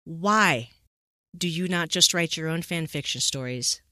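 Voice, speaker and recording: A woman's voice: deep voice, unhurried, pushed and bright, no room sound, studio quality. A woman hosting a podcast in a conversational, emphatic way, often checking in with rhetorical questions. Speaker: podcast host.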